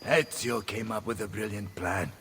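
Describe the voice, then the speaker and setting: An actor playing a hot-blooded, theatrical Italian mercenary captain with an Italian accent, bombastic and volatile, in a video game cutscene. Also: male voice, lowish voice, normal speed, fairly bright tone, recorded from a distance, slight background noise. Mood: tender. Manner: bombastic, swearing, emotionally volatile. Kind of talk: dramatic game cutscene acting.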